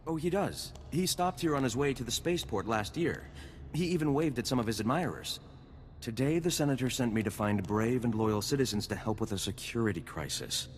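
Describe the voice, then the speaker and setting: An actor voices an obsequious male political aide for a video game. He talks in a flowery, eager, officious way at a medium pitch. His voice rings with long reverb over faint background noise. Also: expressive, a little fast, lightly acted. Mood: fawning. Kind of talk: dramatic video game acting.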